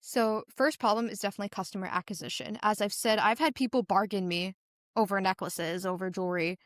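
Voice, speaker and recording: A woman's voice: medium pitch, fairly even intonation, brisk, no room sound, studio quality. A teenage girl from Singapore giving earnest, conversational interview answers as a young business founder, telling stories animatedly with a lot of "like". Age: teens.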